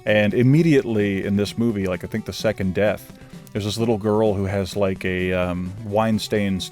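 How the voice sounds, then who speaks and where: A man's voice: fairly low pitch, moderate pace, faint music behind. A man giving a casual, rambling film review, self-deprecating and loose, with plenty of fillers. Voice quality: says his own voice is normally monotone. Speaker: movie reviewer.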